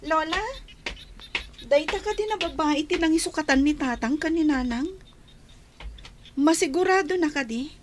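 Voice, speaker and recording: A woman's voice: high-pitched, normal speed, long pauses, a little hiss or hum, natural voice. A woman acting in an Ilocano radio drama, playing a suspicious, resentful young granddaughter.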